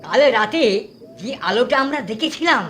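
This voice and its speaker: A man's voice: extremely high pitch, lively intonation, moderate pace, noisy background. A man voicing a fearful, credulous young villager in a cartoon, speaking in an earnest, alarmed way. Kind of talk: cartoon voice acting. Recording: music under the voice.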